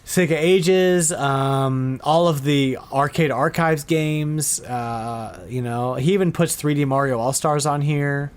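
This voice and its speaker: A man hosting a podcast, chatting casually and informally, often halting and repeating words as he goes. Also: on the high side, clean tone. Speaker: podcast host. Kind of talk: casual chat about retro game news.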